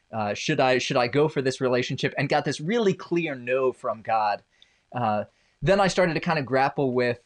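A man who grew up in Indonesia, a podcast guest, telling his personal story with wry, self-deprecating humor. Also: mid-range voice. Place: home basement.

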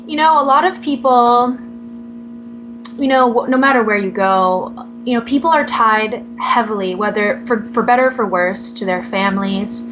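A young adult woman from Southern California, a podcast guest telling her life story in a reflective, casual way with fillers and soft laughs. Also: fairly high pitch, moderate pace, music in the background. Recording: slightly muffled lo-fi audio.

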